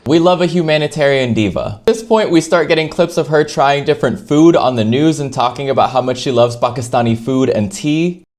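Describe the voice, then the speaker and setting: A young woman in her bedroom telling a story to camera with playful, sassy commentary. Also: a little fast. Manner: playful, sassy narration with lively pitch swings. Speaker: commentary YouTuber.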